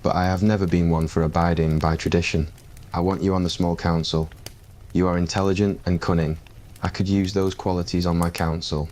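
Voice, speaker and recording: Aegon, un homme jouant un jeune roi sûr de lui à la voix grave. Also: male voice, brisk, close to an everyday voice. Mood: smug, confident. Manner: low, smug and relaxed. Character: confident, teasing young male king.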